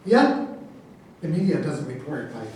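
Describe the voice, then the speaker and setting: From far off in a very echoey, noisy space comes a man acting a blustering president. He is high-voiced and boastful, dismissing critics as he defends his absurd ideas in a stage satire. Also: very animated, moderate pace, a little mellow. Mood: boastful, defensive. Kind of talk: staged reading of a satirical play. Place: echoey room, far from the microphone. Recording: very echoey, distant, noisy hall recording.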